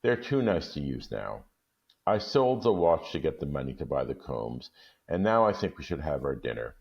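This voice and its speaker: Brief: man playing a young husband in a storybook, hesitant, deep gravelly voice, dull lo-fi recording. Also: sing-song, darkish tone, full character voice. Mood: gentle. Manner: slow, hesitant. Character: young husband, quiet and slow.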